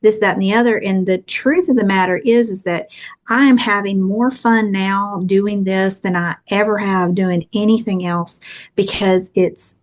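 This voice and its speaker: A woman's voice: mid-range voice, steady tone, moderate pace. A woman in her fifties from Texas with a thick Texan accent, chatting earnestly at length as a guest in an interview. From Texas, USA. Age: 50s. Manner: earnest and chatty, long rambling answers. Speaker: guest author. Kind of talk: casual interview chat.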